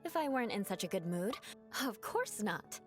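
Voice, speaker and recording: A woman's voice: mid-range voice, sing-song, normal speed, soft background music, natural voice. A woman acting a proud, aloof young noblewoman in a video game, speaking formally with theatrical poise and a mock-vengeful streak. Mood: dry, teasing.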